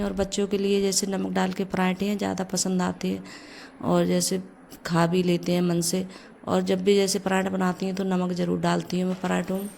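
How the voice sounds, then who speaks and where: Hoarse mom vlogging while she cooks, low gravelly voice, super flat, kitchen hum behind. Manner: chatty, leans on the filler "jaise". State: hoarse from a cold. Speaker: vlogger, mother. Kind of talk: casual home vlog narration. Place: home kitchen.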